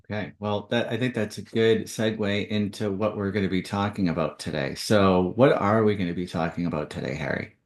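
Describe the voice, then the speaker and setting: A man's voice: lowish voice, a little fast, few pauses. A man hosting a podcast, moving between a scripted introduction and interview questions, recorded with a roomy echo. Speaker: podcast host. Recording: roomy, echoing voice.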